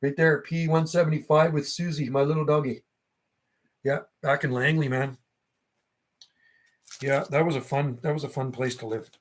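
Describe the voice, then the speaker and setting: A man's voice: fairly high pitch, steady tone, moderate pace, long pauses. A middle-aged man rambling casually on a livestream, talking in a slangy, reminiscing way. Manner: slangy, reminiscing, often ends phrases with "man". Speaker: livestream host.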